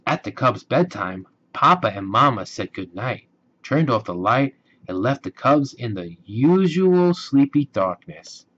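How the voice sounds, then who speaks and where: A man narrates a bedtime storybook in a middle-pitched voice. His delivery is gentle and deliberate, with lively swings of intonation. The sound is a little dull, like a simple home recording. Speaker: children's storybook reader.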